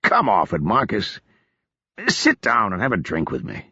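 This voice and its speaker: A man voicing a drunken, foppish male officer, hungover and carefree, in a husky voice. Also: low voice, sing-song, a little slow, pushed and bright, no echo, highs cut off, exaggerated voice. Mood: mocking.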